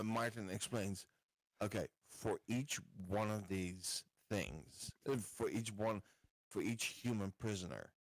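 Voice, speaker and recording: A man improvising in character at a roleplaying game. His voice is on the low side and very slow, with halting, deliberate delivery, recorded dry and close. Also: no echo. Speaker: tabletop roleplaying game player.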